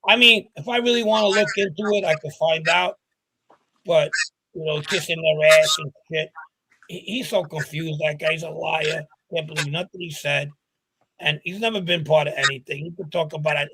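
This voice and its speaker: A man's voice: a little high, normal speed, bright, forceful tone, slight background noise, rough recording. A man co-hosting a live stream, talking trash in a blunt, crude, casual way. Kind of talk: casual profane chat.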